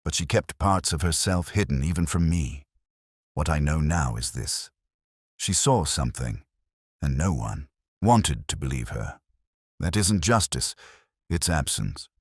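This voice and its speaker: A man's voice: very deep voice, expressive, unhurried, breathy edge, dry recording, studio quality, exaggerated voice. A man voicing a composed, grave woman who speaks steadily and solemnly in an audio detective story. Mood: solemn, steady. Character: composed, grave woman making a candid public statement.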